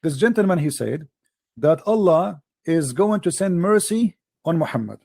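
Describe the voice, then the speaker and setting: A man's voice: on the high side, expressive, normal speed, vocal fry, darkish tone, dry recording, studio quality. A man hosting a religious debate, pressing his points insistently and repeating himself in nonstandard English. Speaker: debate host.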